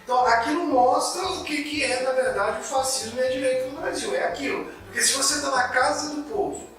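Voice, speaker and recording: Brief: high-voiced, bright, indignant man from Goiás; political co-host; distant mic in an echoey room. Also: faint music behind. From Goiás, Brazil. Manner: indignant, halting with many restarts. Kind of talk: casual political discussion.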